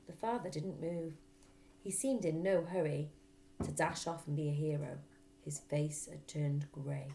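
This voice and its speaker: A woman reading a children's story aloud like a teacher, in expressive narration. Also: deep voice, unhurried, gravelly.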